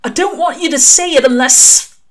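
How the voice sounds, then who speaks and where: A woman voicing an anxious, guarded male demon who talks in halting, broken-off bursts. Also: high-pitched, pitched above the performer's own voice, close to an everyday voice. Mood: subdued.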